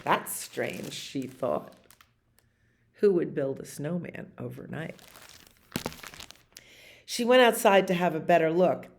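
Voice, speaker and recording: A woman in her sixties reading aloud at storytime in an expressive way, with chatty asides about the pictures and questions for her listeners. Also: deep voice, slow pace, creaky, long pauses, background noise. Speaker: library storyteller reading picture books to children. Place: library activity room, indoors.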